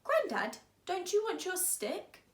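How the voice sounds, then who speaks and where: A woman voicing an eager, affectionate young boy in a children's storybook, with questioning lines and excited calls. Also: very high-pitched, slow, echoey room, voice pushed up, exaggerated voice. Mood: concerned.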